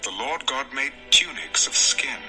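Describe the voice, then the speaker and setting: A man narrating scripture from a script in a measured storytelling manner. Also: mid-range voice, animated, over music, poor audio.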